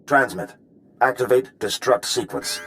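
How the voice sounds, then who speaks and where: An actor playing a resigned but resolute operative in a video game, dictating a log in a clipped, report-like way. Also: male voice, mid-range voice, measured pace, fairly bright tone, a little hiss or hum, lightly acted. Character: resigned, resolute male operative recording his final message. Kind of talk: dramatic video game acting.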